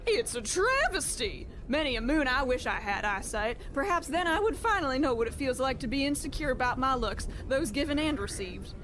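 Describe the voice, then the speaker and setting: A high-voiced, theatrical lady passenger. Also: big pitch swings, a little fast, hardly any pauses, a bit bright, rough recording. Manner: florid, dramatic. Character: theatrical, effusive blind lady train passenger.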